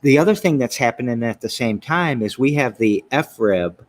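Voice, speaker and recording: A man's voice: medium pitch, animated, normal speed, slight background noise. A man with a Michigan accent hosting a casual interview, talking enthusiastically and rambling through his thoughts. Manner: rambling, enthusiastic, trails off and restarts. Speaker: show host.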